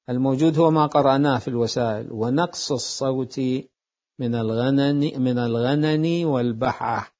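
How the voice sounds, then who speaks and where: Deliberate man lecturing, dull lo-fi recording. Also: mid-range voice, darkish tone. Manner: deliberate, repeats phrases to explain. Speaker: seminary jurisprudence teacher.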